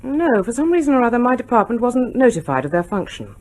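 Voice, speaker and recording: A sensible, guarded woman in government. Her husky voice is reserved and firm, correcting others with a wide-ranging intonation. It is radio drama acting. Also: a little high, sing-song, quick pace, a little mellow. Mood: probing, serious. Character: guarded, sensible female British government official.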